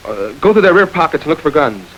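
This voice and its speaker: An actor playing a suave, wry gentleman crook who runs his gang like a business, in a 1930s crime film. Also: on the high side, big pitch swings, fast talker, lo-fi sound, a little hiss or hum. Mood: commanding. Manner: urbane, wry and smoothly commanding.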